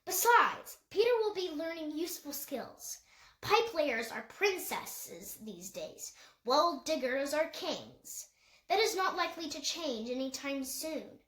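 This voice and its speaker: A woman voicing a wise, principled older woman with a measured, thoughtful manner in an audiobook story. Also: sits high, big pitch swings, a bit bright, reverberant, voice pushed up, full character voice. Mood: gracious. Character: wise, calm, principled older woman, firm yet gracious.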